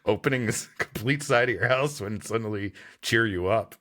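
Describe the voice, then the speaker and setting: A man's voice: on the low side, moderate pace, fairly bright tone. A man co-hosting a podcast, reading a story aloud with dry, wry joking asides. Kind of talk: casual banter mixed with story reading.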